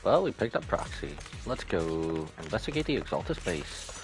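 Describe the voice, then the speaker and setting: A man's voice: deep voice, very animated, normal speed, soft background music, low-quality recording. A man giving casual commentary as he plays through a video game. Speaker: gaming streamer.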